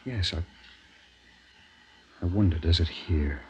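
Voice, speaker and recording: An actor playing an obsessed, defiant scientist in a 1950s radio drama, intense and halting. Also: male voice, low voice, warm tone, dull audio. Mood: feverish wonder. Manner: intense, halting, broken phrases. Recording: noisy 1950s radio broadcast recording.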